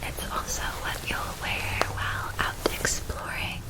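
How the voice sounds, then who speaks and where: A woman playing a gentle, attentive witch-school shopkeeper in an ASMR roleplay, speaking in a soft, breathy, soothing whisper. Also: unhurried, close to an everyday voice.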